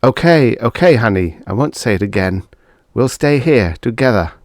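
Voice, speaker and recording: A man's voice: mid-range voice, animated, moderate pace, no echo, voice pushed up, lightly acted. A man voicing a weary, stubborn but tender husband in an audiobook story.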